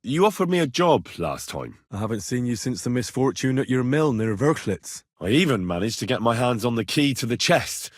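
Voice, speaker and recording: Young, blunt medieval adventurer, husky and expressive. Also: male voice, mid-range voice, moderate pace, few pauses, no room sound, studio quality. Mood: earnest, conversational. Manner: earnest, blunt, exasperated, conversational. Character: young, plain-spoken medieval adventurer, wry and impatient. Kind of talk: video game dialogue acting.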